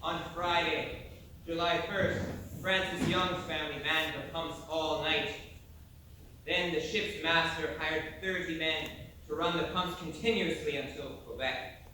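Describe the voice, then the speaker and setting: A man's voice: fairly high pitch, steady tone, measured pace, sharp tone. A man playing a reflective settler who narrates a sea tale on stage. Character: reflective male Irish settler recounting his nautical tale. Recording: echoey hall from far off, noisy.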